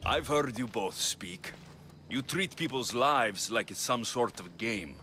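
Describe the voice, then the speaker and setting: An actor playing a blunt, skeptical Greek mercenary in video game dialogue, with a dry, challenging manner. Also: male voice, medium pitch, animated, slow pace, pushed and bright, slight background noise.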